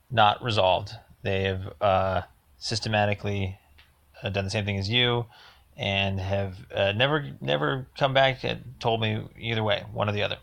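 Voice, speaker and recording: A man with a fairly low voice and a lot of gravelly fry leaves a rambling complaint as a voicemail. He speaks haltingly but insistently, leaning on his words for emphasis. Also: a bit bright. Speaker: caller leaving a voicemail.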